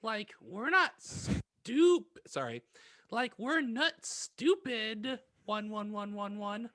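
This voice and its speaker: Woman playing slow-talking irritable goth teen girl. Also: a little high, sing-song, fairly bright tone, no room sound, exaggerated voice. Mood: irritated. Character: irritable, crude teenage goth girl from parody fan fiction. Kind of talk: comic dramatic reading of fan fiction.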